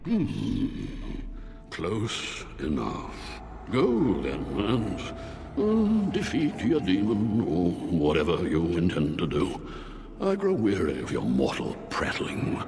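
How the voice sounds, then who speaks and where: An actor playing a bored, condescending demon of sloth in a fantasy video game, with a languid, drawn-out delivery. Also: male voice, low-pitched, wildly swooping pitch, vocal fry, a little mellow, exaggerated voice. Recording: long reverb, music underneath.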